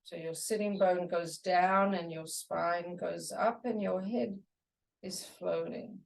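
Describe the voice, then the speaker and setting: A woman teaching a guided meditation, giving soothing, unhurried instructions in short phrases with pauses. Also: on the low side, steady tone, gravelly, distant mic, poor audio.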